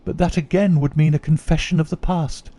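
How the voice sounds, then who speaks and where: A man's voice: on the high side, steady tone, brisk, warm tone, pitched above the performer's own voice, natural voice. A man reading as a remorseful young noblewoman. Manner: earnest, confessional. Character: troubled young noblewoman confessing.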